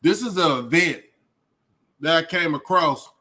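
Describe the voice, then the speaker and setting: A man's voice: a little high, a little slow, bright, forceful tone. A middle-aged man giving informal reaction commentary in a colloquial, rambling, slangy way. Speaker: reaction video host.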